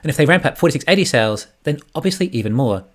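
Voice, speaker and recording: Man narrating with lively, swinging intonation. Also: medium pitch, very fast. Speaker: YouTube stock analyst. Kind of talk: scripted narration, financial commentary.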